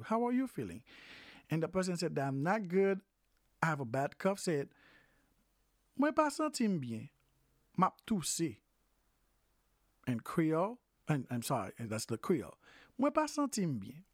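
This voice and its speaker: Slow, repetitive phrase teacher. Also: mid-range voice, huge pitch swings, dry recording, clean studio sound. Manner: slow, repeats each phrase several times; hesitant, with self-corrections. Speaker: language teacher. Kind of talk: informal phrase lesson for health workers.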